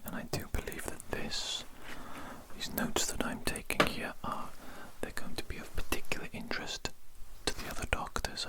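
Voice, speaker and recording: Casting: ASMR whisperer as a fussy, curious, polite doctor; fully whispered, breathy, repetitive murmuring. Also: rough recording. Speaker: ASMR performer role-playing a doctor examining a patient. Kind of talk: whispered ASMR medical roleplay.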